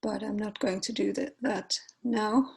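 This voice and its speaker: Finnish-accented woman, tutorial narrator, unhurried delivery, gravelly edge, hesitant. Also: medium pitch, steady tone, a little mellow. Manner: hesitant, many fillers and restarts. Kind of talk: screen-recorded software tutorial.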